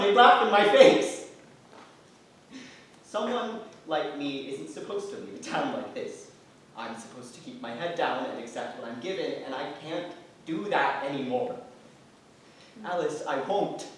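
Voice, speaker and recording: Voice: medium pitch, close to an everyday voice. An actor playing a proud, weary young man, a jailed shoemaker's apprentice, earnest and stubborn in a staged play reading. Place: theatre stage, distant microphone. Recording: very echoey theatre, far-off mic, faint noise.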